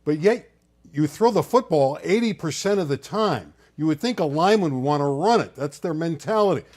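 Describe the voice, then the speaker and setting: Sports show host dude, pretty upbeat, a little high pitched. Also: male voice, expressive, fairly quick, darkish tone. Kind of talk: sports talk interview.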